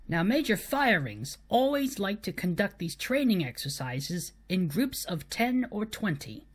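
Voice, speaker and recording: A woman narrating a humorous scripted story as a storyteller, with deliberate emphasis on the punchlines. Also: on the low side, breathy edge.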